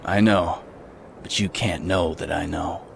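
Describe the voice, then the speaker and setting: Radio drama hero, male actor: lowish voice, solemn resolute gunslinger, noisy background. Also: fairly even intonation, unhurried, creaky, heavily acted. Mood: steely. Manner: solemn, determined. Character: grim, resolute lone gunman, husband and father. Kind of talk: old-school radio drama acting.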